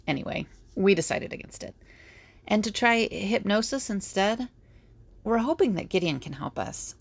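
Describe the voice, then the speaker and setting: A woman's voice: mid-range voice, animated, moderate pace, gravelly, no room sound. A woman playing a practical, easily exasperated young woman in an audio drama, with a dry, explanatory manner. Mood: earnest, explanatory.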